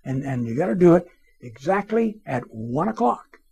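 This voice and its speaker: A man playing an excitable radio station boss in an anecdote, amazed and eager, with emphatic exclamations. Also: fairly high pitch, moderate pace, creaky, slightly rough, pitched above the performer's own voice, heavily acted.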